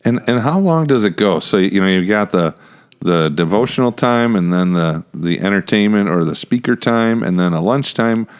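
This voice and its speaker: An American man with a very low voice hosts a casual chat. He speaks in an everyday, conversational way, as a podcast host would. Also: expressive, moderate pace, clear, resonant tone.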